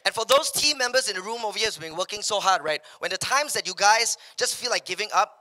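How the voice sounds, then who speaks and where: Bright-voiced Malaysian man on stage. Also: high voice, quick pace. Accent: Malaysian English. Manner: energetic, paces the stage, slips into local slang when quoting others. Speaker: keynote speaker. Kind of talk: motivational stage talk. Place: large hall with a stage. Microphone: handheld microphone.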